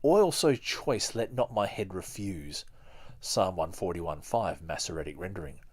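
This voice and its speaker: Low-voiced man hosting a podcast. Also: expressive, unhurried. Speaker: podcast host. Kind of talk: reading a book aloud with casual commentary.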